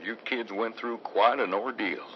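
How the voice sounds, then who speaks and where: An actor playing a courteous, folksy country sheriff in a radio drama. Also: male voice, lowish voice, a little slow, slightly rough. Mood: folksy, gentle. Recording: dull old-radio sound, faint noise.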